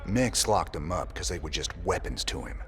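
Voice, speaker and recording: An actor playing a weary, tough rebel commander who talks in a low, world-weary drawl in video game dialogue. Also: male voice, lively intonation, moderate pace, fairly bright tone, a little hiss or hum, exaggerated voice. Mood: steady.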